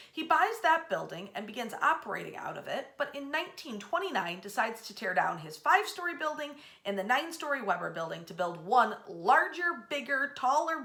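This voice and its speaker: Animated, high-pitched woman telling history stories. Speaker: host of a local history storytelling series. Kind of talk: animated storytelling narration of local history.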